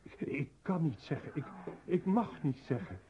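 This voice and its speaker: An actor playing a fearful, pleading ninety-year-old peasant woodcutter in a radio drama, deferential and halting. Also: male voice, a little high, very animated, slight background noise. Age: ninety in the role. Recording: muffled, dull radio drama recording.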